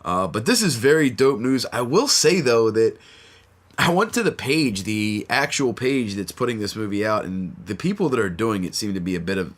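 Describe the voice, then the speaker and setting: A man's voice: lowish voice, animated, normal speed, a bit bright. A man hosting a horror podcast in a laid-back, slangy way with plenty of fillers, sounding stuffy from a cold. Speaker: podcast host. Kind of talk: casual podcast chat.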